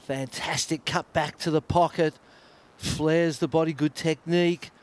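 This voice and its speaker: A man's voice: a little high, fairly even intonation, a little slow. A man calling a surfing contest live, chatty and reflective between the waves. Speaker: surf contest commentator. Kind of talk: live sports commentary.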